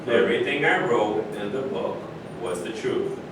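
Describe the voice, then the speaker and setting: Stage play, male actor as self-assured seven-foot sports star, fairly low voice, distant reverberant recording. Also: expressive, normal speed, close to an everyday voice. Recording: distant echoey stage recording, rumbling noise.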